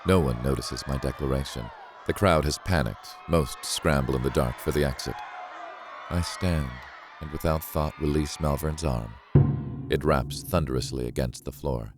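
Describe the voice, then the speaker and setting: A man narrating a thriller novel as a grave, watchful witness, measured and deliberate in his delivery. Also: very deep voice, over music. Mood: grave, suspenseful. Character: grave, watchful man recounting a horrific stage act. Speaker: audiobook narrator.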